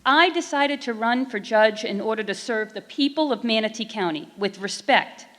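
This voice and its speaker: Polite woman moderator, higher voice. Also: animated, moderate pace, fairly bright tone. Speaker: forum moderator. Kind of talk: reading questions aloud. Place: performing arts center stage. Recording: reverberant auditorium.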